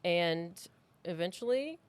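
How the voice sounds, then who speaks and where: A woman's voice: on the low side, animated, slow, smooth. A woman co-hosting a podcast in casual, unscripted chat, blunt, emphatic and full of opinions. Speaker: podcast co-host.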